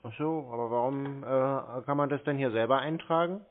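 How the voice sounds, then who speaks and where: A man's voice: mid-range voice, moderate pace. A young man chats casually on a call. He answers tersely and provocatively, acting as if he does not understand. The recording is dull and band-limited. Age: 20s. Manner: terse, feigning confusion, provocative. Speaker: prank caller baiting phone scammers. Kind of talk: casual phone conversation.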